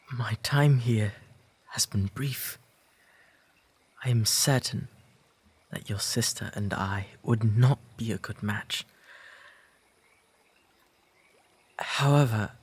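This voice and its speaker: Guy doing a polite old-timey english gentleman in love, soft breathy voice, takes his time, bit nervous and formal, romance roleplay audio. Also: medium pitch. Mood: nervous, confessing. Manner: formal, halting, nervously sincere. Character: earnest, courteous 19th-century gentleman, shyly smitten suitor.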